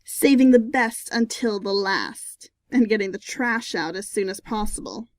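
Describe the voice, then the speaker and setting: A woman's voice: medium pitch, a little slow, no room sound, natural voice. A woman voicing a smug, catty female pony rival in an audiobook, with a mocking, challenging manner. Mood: taunting. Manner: mocking, taunting. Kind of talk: audiobook character acting.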